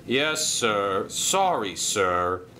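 A huffy railway engine from a children's story, voiced by a man. He is emotional and prone to groans and gasps, drawing words out with big swings in pitch. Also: very animated, very slow, sharp tone, a little hiss or hum, full character voice. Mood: grudging. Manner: groaning, gasping.

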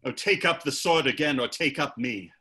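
A man's voice: medium pitch, moderate pace, bright, forceful tone, lightly acted. A man playing a passionate, hot-tempered young Elizabethan playwright who declaims archaic verse. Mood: pleading. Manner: declaims archaic verse, swings to furious hissing. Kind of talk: audio drama acting.

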